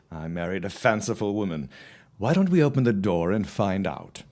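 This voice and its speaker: A man acting a confident, dismissive farmer husband of the early 1900s in an audio drama, with formal, deliberate phrasing. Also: low-pitched, expressive, background noise. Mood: breezy, confident.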